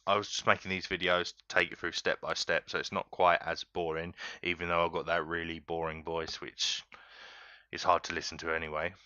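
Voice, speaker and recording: Guy, low voice, chill chatty game tutorial, dry slightly lo-fi mic. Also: brisk, clean tone, no echo. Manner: relaxed, chatty, keeps addressing viewers as guys. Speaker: gaming tutorial host. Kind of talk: casual step-by-step game tutorial.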